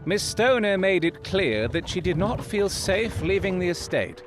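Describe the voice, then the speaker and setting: A man playing a brilliant, proud Victorian gentleman detective in an audio drama, with formal, eloquent and theatrical speech. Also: high voice, very animated, measured pace, over music. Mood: brisk.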